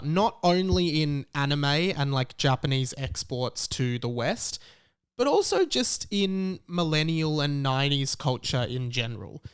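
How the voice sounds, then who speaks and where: A man in his late twenties with an Australian accent, hosting a casual solo podcast in halting phrases, stretching out key words for emphasis. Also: a little high, clean tone, dry recording, studio quality. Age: late 20s. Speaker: podcast host.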